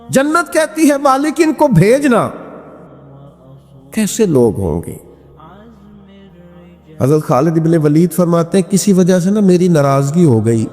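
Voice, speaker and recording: A male preacher gives an impassioned Islamic sermon in a fairly high-pitched voice, drawing out his words slowly. He leans on repetition and rhetorical questions, and long hall reverb surrounds him. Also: darkish tone. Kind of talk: impassioned sermon with recited verse and narrated religious stories.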